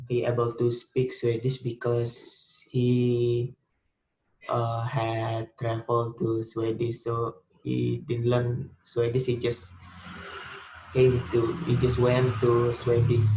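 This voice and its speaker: A man's voice: mid-range voice, a little mellow, slight background noise, rough recording. A young man with an Indonesian accent, a student giving a spoken class presentation, hesitant, with many fillers and restarts. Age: young adult.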